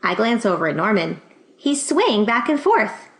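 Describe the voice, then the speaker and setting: A woman reading a children's picture book aloud in an expressive way, acting out the dialogue. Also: a little high, moderate pace. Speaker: storybook reader. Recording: roomy, echoing sound.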